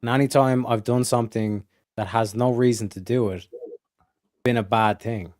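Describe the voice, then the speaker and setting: A man with a medium-pitched voice debates casually on a livestream, earnest and argumentative. The sound is dry, without any room around it. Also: steady tone, normal speed, darkish tone, no echo. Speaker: livestream guest.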